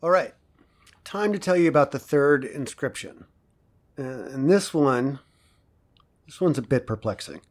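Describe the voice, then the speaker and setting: An actor playing an earnest professor giving a measured, self-assured academic lecture in an audio drama. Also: male voice, medium pitch, lively intonation, lightly acted. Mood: earnest, opinionated.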